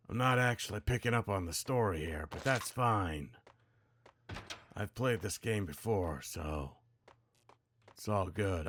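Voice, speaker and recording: A man's voice: on the low side, fairly bright tone, a little hiss or hum. A man giving casual, offhand gameplay commentary, half muttering to himself as he practices voice acting.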